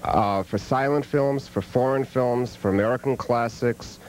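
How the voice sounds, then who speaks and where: A man's voice: a little slow, a little mellow, poor audio. A man speaking as a video store co-director in a TV interview, emphatic and opinionated. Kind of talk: interview soundbite. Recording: faint rumbling noise on TV interview.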